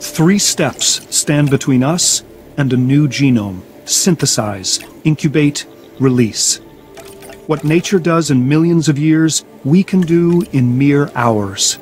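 An actor playing a wealthy, smug biotech scientist in a video game, grandiose and self-assured, enthralled by dinosaurs. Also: male voice, fairly high pitch, animated, slow pace, a little mellow, soft background music. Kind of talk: video game cutscene acting.

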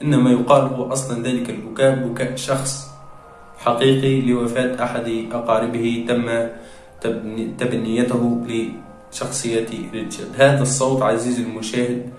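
A young man presenting a scripted explainer to viewers in formal, measured phrasing. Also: mid-range voice, level tone, darkish tone, faint music behind. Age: young adult. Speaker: video presenter. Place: small tiled room, indoors. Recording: echoey room sound.

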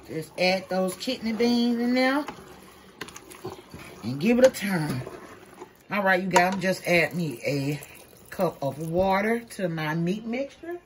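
A woman's voice: mid-range voice, a little hiss or hum. Chatty woman cooking at home. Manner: chatty, folksy, talks to viewers as y'all. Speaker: vlogger. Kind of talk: casual cook-with-me vlog. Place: home kitchen, indoors. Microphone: camera held by someone else.

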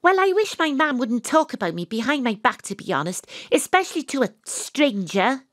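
A woman playing a gruff, dogged private detective who tells her own case in a dramatic, atmospheric audio drama delivery. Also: sits high, sing-song, normal speed, no room sound, studio quality. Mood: irritated. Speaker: lead actress and narrator. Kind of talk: first-person audio drama narration.

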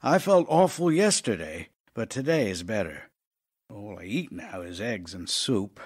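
A man's voice: on the low side, lively intonation, a little slow, breathy edge. An actor playing an ailing, tender, wry writer reading his love letters aloud in an audio drama, in a theatrical, intimate manner. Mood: wry, resigned, affectionate. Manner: theatrical, wry, intimate, shifting from emphatic to hushed.

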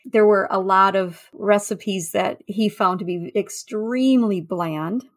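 A woman speaks as a guest on a podcast, in a medium-pitched voice. She tells anecdotes conversationally, feeling her way through sentences with small hesitations. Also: slow. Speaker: interview guest, food history researcher.

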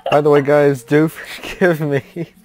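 A man's voice: a little high, level tone, a little slow, a little mellow, slight background noise. A young man hosting a gaming video, chatting casually and hesitantly with some stammering. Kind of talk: casual chat while gaming.

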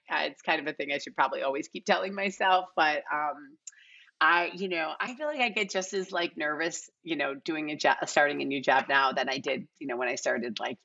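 A middle-aged woman chatting casually as an interview guest, animated and self-deprecating. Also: sits low, gravelly, lo-fi sound. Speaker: casting director, podcast guest. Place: home living room, video call.

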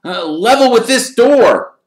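A reader as an awkward, high, loud schoolboy. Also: lively intonation, takes it slowly. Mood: flustered. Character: awkward, gruff, blurting schoolboy. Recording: roomy echo.

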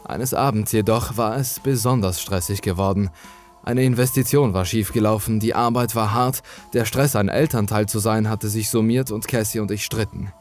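Low-voiced man narrating horror. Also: fairly even intonation, normal speed, soft background music. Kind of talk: scripted first-person creepypasta narration.

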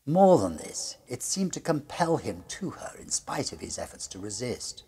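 A middle-aged man with a husky, gravelly voice narrating a gothic ghost story in a measured, suspenseful, theatrical way. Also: mid-range voice, very animated, warm tone.

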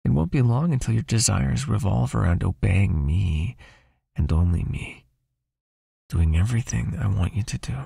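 A man playing a gravelly, seductive vampire master. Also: lowish voice, no echo, studio quality, full character voice. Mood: possessive, hypnotic. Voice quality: breathy, gravelly, murmuring. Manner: slow, coaxing, intimate, softly commanding. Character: seductive, possessive male vampire master, hypnotic and condescendingly tender. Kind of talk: ASMR hypnosis roleplay.

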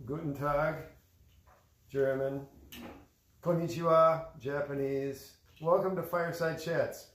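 A man's voice: a little high, steady tone, slow pace, pauses often. A middle-aged man speaking casually as a father at home story time, recorded at a distance in a living room. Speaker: father reading along with his child. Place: living room by a fireplace, indoors. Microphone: camera a few metres away. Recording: echoey living room, some distance away.

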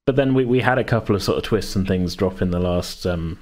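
A man's voice: sits low, a little fast, a little mellow. A man talking casually as host and game master, in a rambling, hesitant, self-correcting way. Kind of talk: casual chat about a tabletop game.

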